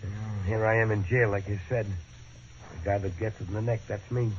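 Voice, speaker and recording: An actor in 1940s radio-drama style playing a sullen, stubborn out-of-work husband who grumbles and flares up, on a dull lo-fi old radio recording. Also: male voice, lowish voice, fairly even intonation, moderate pace, slight background noise, close to an everyday voice. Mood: defiant. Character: bitter out-of-work young husband, stubborn and brooding. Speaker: lead character.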